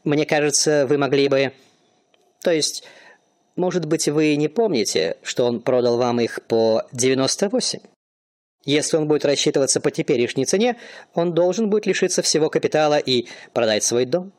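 A man's voice: a little high, moderate pace, dry recording, close to an everyday voice. Man voicing a hesitant polite clerk, dry close sound. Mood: deferential. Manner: hesitant and polite, hedging his words. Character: timid deferential male office clerk. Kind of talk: amateur radio play acting.

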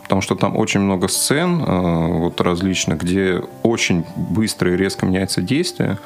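A man with a low, gravelly voice full of fry speaks as a radio co-host. His phrasing is measured and hesitant, in a conversational interview style, with faint music behind.

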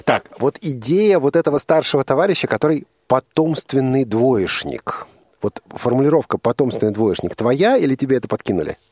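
A male radio host talks in a middle-pitched voice. He interviews conversationally, often breaking off and rephrasing. The studio sound is dry. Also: animated, brisk, darkish tone, slightly rough, dry recording.

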